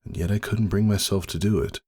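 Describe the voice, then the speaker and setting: A man narrating a first-person story dramatically, in a brooding, measured and theatrical delivery. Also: lowish voice, steady tone, a little mellow. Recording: music behind the voice. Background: music.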